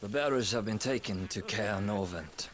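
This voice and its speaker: A man's voice: fairly low pitch, normal speed, crowd noise, close to an everyday voice. An actor playing a soldier officer who reports to his superior in a deferential, reassuring way.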